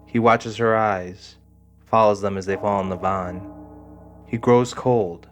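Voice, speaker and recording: Male narrator for audio drama, lowish voice, dramatic scripted read over a clear music bed. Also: fairly even intonation, slow pace.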